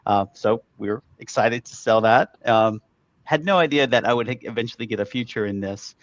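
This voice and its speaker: A middle-aged man from Chile, a marketing expert, telling his story in a relaxed way with fillers. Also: mid-range voice, normal speed, no room sound, lo-fi sound. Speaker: guest, digital marketing expert. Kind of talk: casual interview storytelling.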